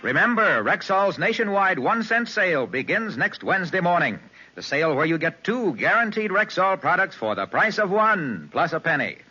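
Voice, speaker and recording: Male vintage radio announcer, animated high delivery, commercial pitchman style. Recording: muffled old radio broadcast.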